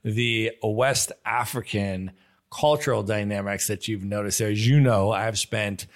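A man hosting a travel podcast, talking with eager, upbeat energy as he asks his guest questions. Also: on the low side. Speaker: podcast host. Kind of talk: casual podcast interview.

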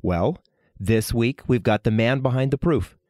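A man's voice: medium pitch, unhurried, darkish tone, no room sound, studio quality. A male podcast host delivering a scripted intro and then asking questions with emphatic energy.

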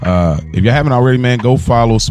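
A man's voice: medium pitch, fast, darkish tone, soft background music. An American man co-hosting a podcast, talking informally and slangily, as if to a close buddy. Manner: informal, slangy, addresses partner as bro and man. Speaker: podcast co-host. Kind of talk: casual chat.

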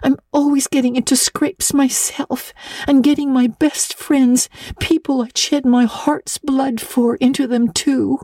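A woman's voice: high voice, fairly even intonation, a little slow, warm tone, no echo, pitched above the performer's own voice. A woman voicing an earnest, dramatic, impulsive young girl with breathless, pleading delivery, in a classic audiobook reading. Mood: mournful.

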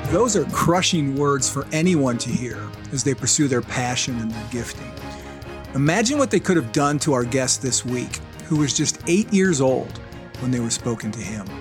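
A man co-hosting a podcast, reading a scripted introduction in a measured, earnest way. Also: medium pitch, animated, music in the background. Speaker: co-host.

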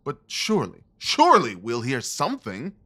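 A man acting a restless, commanding gentleman detective in an audio drama, speaking formally and with intense emphasis. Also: mid-range voice, wildly swooping pitch, moderate pace, heavily acted. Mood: frustrated, restless.

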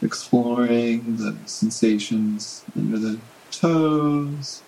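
A man leading a guided body scan meditation in a gentle, unhurried manner with long pauses. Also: mid-range voice, gravelly, slight background noise, poor audio.

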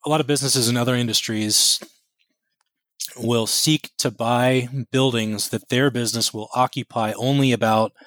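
A man's voice: mid-range voice, steady tone, moderate pace, fairly bright tone, no room sound. Congested man interviewing, dry close sound. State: stuffed up with a head cold. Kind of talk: conversational business interview.

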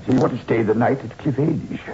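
An actor playing a superstitious, courteous old widower in a 1960s radio horror drama, speaking gravely and hesitantly. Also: male voice, mid-range voice, fairly quick, lightly acted. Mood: courteous, wistful. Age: older. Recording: dull, noisy old radio recording.

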